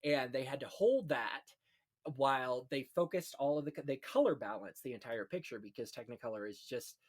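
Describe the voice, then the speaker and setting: A man's voice: mid-range voice, animated, moderate pace. A man hosting a casual film history chat, telling anecdotes in a gossipy, conversational way. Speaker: podcast host.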